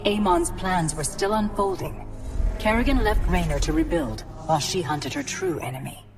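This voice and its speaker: A woman performing a solemn narrator of a space war saga, telling it in a measured, dramatic way. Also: on the low side, very animated. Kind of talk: scripted story recap narration. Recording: loud music under the voice. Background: music.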